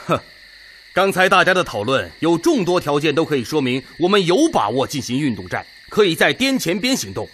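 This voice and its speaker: An actor in a Chinese radio drama playing an assertive, argumentative Red Army officer who speaks emphatically and insistently. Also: male voice, fairly high pitch, very animated, a little fast, fairly bright tone, slight background noise.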